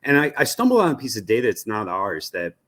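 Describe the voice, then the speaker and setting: A man with an American accent and a fairly low voice answers as an expert guest in an interview. He explains conversationally, thinking aloud and adding asides as he goes. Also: huge pitch swings, fast, a bit bright. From United States. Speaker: media research executive, interviewee.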